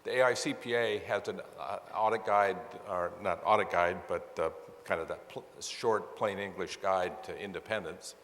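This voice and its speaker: A man's voice: deep voice, normal speed, hardly any pauses, fairly bright tone. A man explaining case scenarios to an audience as a presenter, in a conversational way, often rephrasing himself. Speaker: presenter at a conference. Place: large meeting hall with sound system. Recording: long reverb of a large hall.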